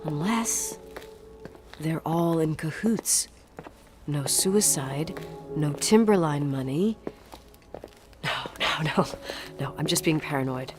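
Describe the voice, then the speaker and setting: A woman's voice: low voice, lively intonation, slow, pauses often, music in the background. An actress playing a strong-willed, defiant young woman in a video game, with an intense, emphatic, sweary delivery. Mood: brooding, thinking aloud. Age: young adult. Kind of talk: naturalistic video game voice acting.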